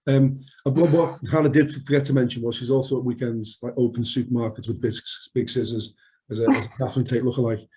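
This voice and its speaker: Mellow middle-aged Scouse man. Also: medium pitch, fairly even intonation, fast talker. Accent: Liverpool (Scouse). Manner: jokey, rambling, frequent restarts. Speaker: podcast co-host. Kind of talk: unscripted podcast chat.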